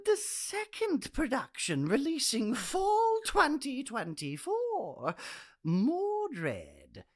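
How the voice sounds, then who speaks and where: A man voicing a short, touchy, excitable prisoner in a comedic radio play, speaking like a pompous old-fashioned gentleman with stammering repeats. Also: very high-pitched, extremely slow, heavily acted. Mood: announcing.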